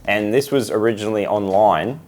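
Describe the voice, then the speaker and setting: Brief: low-voiced male co-host, dry and deadpan. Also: a little fast. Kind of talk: casual chat.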